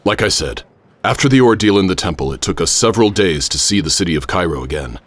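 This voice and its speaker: An actor playing a witty, grandiose American professor and adventurer, speaking in flowery, theatrical phrases in a radio drama. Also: male voice, low-pitched, animated, fast talker. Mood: reminiscing. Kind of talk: radio drama acting and first-person narration.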